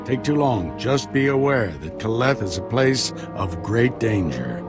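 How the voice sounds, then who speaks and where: A grave Jedi master in a video game. His low voice is solemn and commanding. Music plays loudly behind him. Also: very animated, unhurried. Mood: urgent command. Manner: solemn, authoritative.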